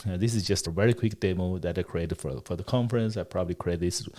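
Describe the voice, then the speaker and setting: Man, native Chinese speaker, fairly low pitch; a developer narrating a demo with halting restarts. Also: dark tone. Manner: explanatory, with frequent pauses and self-corrections. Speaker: developer giving a product demo. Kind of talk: screen-recorded tutorial walkthrough.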